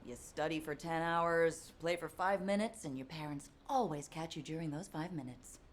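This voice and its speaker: Actress playing tough wry soldier lady in a game, lowish voice, easygoing, bit of background noise. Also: moderate pace, natural voice. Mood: wry, teasing. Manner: casual, wry, teasing. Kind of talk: video game voice acting.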